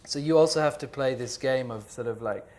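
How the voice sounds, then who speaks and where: A man's voice: mid-range voice, a little fast, a little mellow. A man from Jordan talking through his thoughts at a public panel. He hesitates often, backtracks and restarts his sentences, and fills the gaps with "um" and "like". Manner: hesitant, many fillers and restarts. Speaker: artist speaker at a panel talk. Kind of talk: reflective panel conversation.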